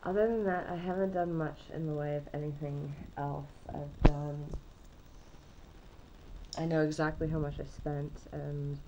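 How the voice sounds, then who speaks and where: A woman vlogging casually from her apartment with a slightly nasal, husky voice, rambling and trailing off mid-thought. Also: deep voice, steady tone, a little slow, heavy background noise.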